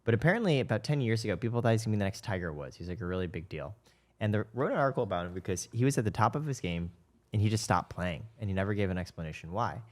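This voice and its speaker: Young American man, quick conversational delivery, slightly low pitch, casual podcast storyteller. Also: expressive. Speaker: podcast participant. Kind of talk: casual chat and anecdotes.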